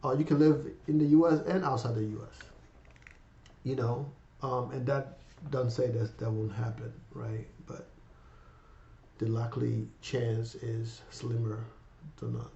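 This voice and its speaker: Man in his fifties, raspy with a cold, rambling vlog host, echoey room, dull lo-fi recording. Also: medium pitch, level tone, a little slow, dark tone, slight background noise. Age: 50s. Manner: rambling, many fillers, addresses viewers as guys. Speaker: finance channel host. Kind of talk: casual vlog talk.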